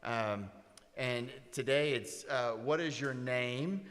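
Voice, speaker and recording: A man's voice: mid-range voice, slow pace, a bit bright. A man opening with a prayer, then hosting a church discussion group in an easygoing, meandering way. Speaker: class leader and host. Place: church meeting room. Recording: roomy hall reverb.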